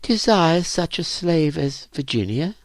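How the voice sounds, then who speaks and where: A man's voice: on the high side, big pitch swings, measured pace, dry recording, studio quality, pitched above the performer's own voice. A man voicing a timid, wistful young slave woman in an audiobook, earnest and full of self-doubt.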